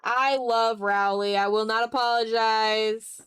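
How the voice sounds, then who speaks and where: A young adult woman chatting casually on an art livestream, animated and slangy, with a self-deprecating way of talking. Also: fairly high pitch, slow pace, clear, resonant tone. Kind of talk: casual livestream chat while drawing.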